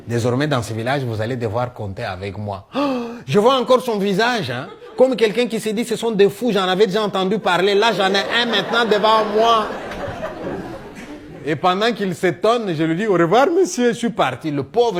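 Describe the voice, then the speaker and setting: A middle-aged man with a Congolese French accent tells comic stories in a one-man show, acting out dialogue in an animated way. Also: sits high. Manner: animated storyteller, acting out dialogue, punctuating with "hein". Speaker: stage storyteller and comedian.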